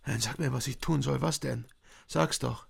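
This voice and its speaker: An actor playing a troubled, nightmare-haunted husband in a radio drama, halting and defensive, often repeating himself. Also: male voice, medium pitch, gravelly. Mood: helpless, irritated. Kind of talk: naturalistic radio drama acting.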